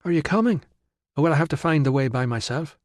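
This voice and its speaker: A man's voice: fairly high pitch, expressive, fast, dry recording, studio quality, pitched above the performer's own voice, close to an everyday voice. A man voicing a firm, impatient married woman in an audiobook, curt and no-nonsense. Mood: determined. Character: firm, impatient married British tribeswoman, sceptical. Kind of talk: audiobook character voice.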